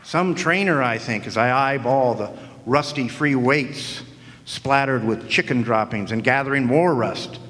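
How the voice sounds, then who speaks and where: A man's voice: mid-range voice, measured pace, fairly bright tone. Wry hard-boiled male noir narrator. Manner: wry, sardonic. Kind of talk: 1940s noir detective narration in a live radio play. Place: historic club hall, live audience performance. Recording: long echoing hall reverb.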